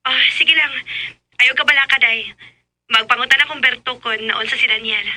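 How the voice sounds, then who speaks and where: A young Cebuano Filipino confidante in a radio drama. Her voice is high and extremely bright and forceful. She comes across as supportive and frank. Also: moderate pace, low-quality recording. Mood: reassuring.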